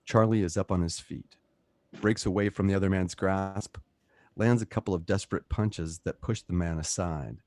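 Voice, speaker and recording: A man's voice: low voice, moderate pace, darkish tone, no echo. A man narrating a script's scene directions as the narrator of a radio play. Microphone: close home microphone.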